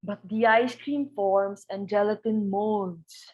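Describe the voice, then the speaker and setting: A woman playing a deferential, composed household servant, formal and measured, in a dramatic reading of a stage comedy. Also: medium pitch, fairly even intonation, highs cut off. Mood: worried, deferential.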